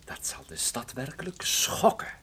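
An actor playing a wry, unflinching private detective in radio play dialogue, with a dry, sardonic manner. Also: male voice, medium pitch, very animated, a little slow, sharp tone, husky, heavily acted. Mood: accusing, sardonic.

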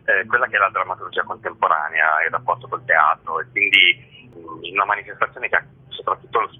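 Male interview guest, very deep and slightly husky, hesitant explainer, heard over a narrow phone-like connection. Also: brisk. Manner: hesitant, with frequent filler words and repetitions. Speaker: festival artistic director, interview guest. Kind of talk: spoken interview, explaining an event. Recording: muffled narrow-band radio phone line.

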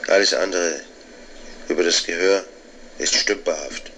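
A man's voice: deep voice, a little slow, bright, forceful tone, slight background noise, full character voice, rough recording. A male actor playing a bitter, pedantic middle-aged scholar in a radio drama, circling obsessively through repeated phrases. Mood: brooding, pedantic. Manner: obsessive, repetitive, pedantic monologue. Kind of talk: literary radio drama monologue.

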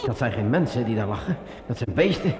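Actor as jittery man muttering in echoey dark. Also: medium pitch, animated, lightly acted. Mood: bold, keyed up. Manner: agitated self-talk, halting and repeating words. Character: jittery man with a sword alone in a dark cellar, muttering to himself. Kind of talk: radio drama monologue. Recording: echoey, with loud music behind.

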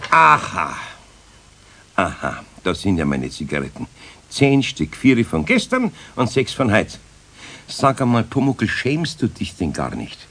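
Husky older Bavarian master carpenter. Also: male voice, fairly low pitch, wildly swooping pitch, fairly bright tone, full character voice. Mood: firm, scolding. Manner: gruff, unhurried, sceptical. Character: older master carpenter, grumbling, patient but firm. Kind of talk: children's radio play acting.

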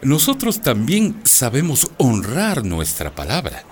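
An actor playing a sly, unctuous, corrupt company manager in a radio drama. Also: male voice, medium pitch, wildly swooping pitch, slow, a little hiss or hum. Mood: smooth scheming.

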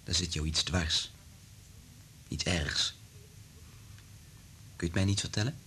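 An actor playing a concerned, clinical doctor in a radio drama, speaking in a soothing, restrained and earnest manner. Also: male voice, a little slow, sharp tone. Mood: concerned, calming. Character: concerned, clinical male doctor secretly in love with colleague. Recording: noisy radio play recording with low rumble.

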